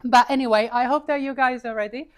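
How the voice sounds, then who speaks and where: A woman's voice: high voice, steady tone, fast. A woman with an Italian accent, in her thirties, giving a chatty, self-deprecating live talk to an audience, with frequent fillers and laughs. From Italy. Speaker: paid advertising speaker. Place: event room with an audience, seated.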